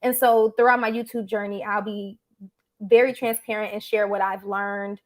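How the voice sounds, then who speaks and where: A woman's voice: mid-range voice, steady tone, normal speed. A woman hosting a live online talk show, chatty and appreciative, with frequent fillers. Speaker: host. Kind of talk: casual livestream chat.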